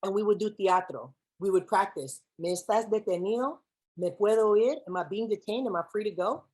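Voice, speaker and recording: A woman's voice: mid-range voice, fairly quick, a little mellow, low-quality recording. A young woman giving a keynote speech to students, switching between English and Spanish and asking the audience questions. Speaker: keynote speaker. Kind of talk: speech to students with spoken-word poem.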